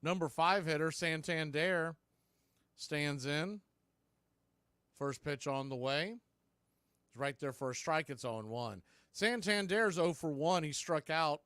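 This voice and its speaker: A man calling live baseball play-by-play in an excitable, folksy radio style. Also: a little high, no room sound, clean studio sound. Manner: excitable, folksy exclamations on big plays.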